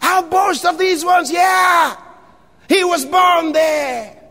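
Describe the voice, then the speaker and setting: A man preaching an impassioned sermon, shouting scripture with long drawn-out phrases. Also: very high voice, long reverb tail.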